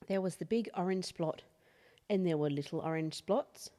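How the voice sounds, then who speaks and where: A woman reading a picture book aloud as an expressive children's storyteller. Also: lowish voice, moderate pace. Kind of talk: expressive read-aloud bedtime story narration.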